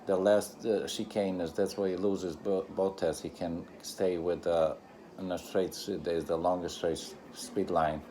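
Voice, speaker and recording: A man with a low-pitched, soft-edged voice and non-native English gives casual live race commentary. His phrasing is halting and repetitive, with loose grammar. There is faint noise behind him. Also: fairly even intonation. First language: not English. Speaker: reaction video host.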